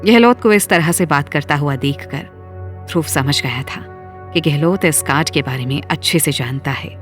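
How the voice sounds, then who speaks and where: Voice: mid-range voice, normal speed. Story narrator over faint music.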